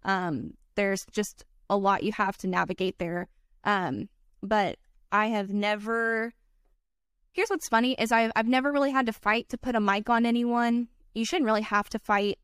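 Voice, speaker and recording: A woman's voice: medium pitch, moderate pace, fairly bright tone, clear, resonant tone, dry recording. A woman wedding filmmaker, a podcast guest, talking in an earnest, chatty way full of "like" and "you know". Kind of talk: casual podcast chat.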